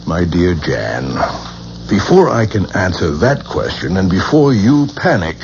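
An actor playing a composed, learned expert on reincarnation in a 1970s radio drama, explaining things in a measured, patronizing, professorial way. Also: male voice, low voice, very animated, gravelly, darkish tone, heavily acted. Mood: measured, lecturing. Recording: muffled, noisy 1970s radio broadcast.

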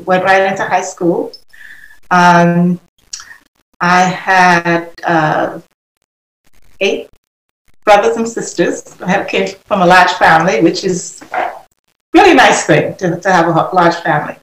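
A woman's voice: on the low side, takes it slowly, pauses often. A middle-aged woman from Trinidad, speaking as a guest in a conversational, reminiscing way. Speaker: podcast guest. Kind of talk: conversational personal recollection. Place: remote, on a video call. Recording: echoey video call audio.

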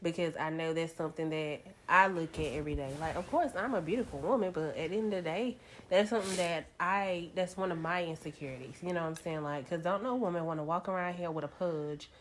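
A woman in her thirties co-hosting a casual talk show, candid and reflective, with a slightly raspy voice today. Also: on the low side, fast talker, slight background noise. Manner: candid and reflective, many fillers. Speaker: podcast co-host. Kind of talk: casual chat.

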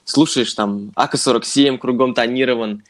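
Young man, podcast co-host, casual chat, joking, mid-pitched voice. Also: normal speed. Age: 20s. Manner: relaxed, joking, addresses listeners as friends.

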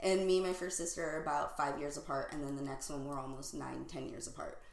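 A woman hosting a podcast, chatty and quick to laugh, interviewing a guest in the guest's home. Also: low-pitched, little pitch movement, vocal fry, a crowd in the background. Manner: warm-hearted, chatty, laughs often. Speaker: podcast host. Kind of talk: casual interview conversation. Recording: echoey home room.

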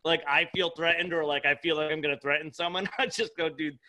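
Male comic, 30s, slightly high bright voice, loose sweary podcast banter. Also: steady tone, quick pace. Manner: profane, informal, frequent "dude" and "like". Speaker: stand-up comedian, podcast guest. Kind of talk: casual podcast chat.

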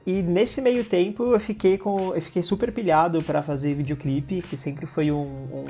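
A man's voice: fairly high pitch, steady tone, moderate pace, mellow, faint music behind. A man with a Brazilian Portuguese accent, a filmmaker guest chatting casually about his work, with hesitant restarts and frequent laughs. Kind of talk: casual interview talk.

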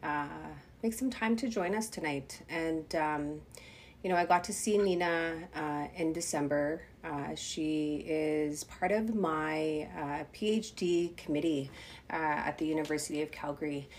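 A woman's voice: low voice, unhurried, a little hiss or hum. A woman co-hosting a podcast, speaking with a stuffed-up voice from a cold, thankful and reflective in casual conversation. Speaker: podcast co-host.

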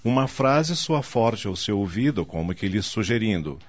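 Animated low-voiced male radio drama narrator. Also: moderate pace. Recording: dull lo-fi audio with music.

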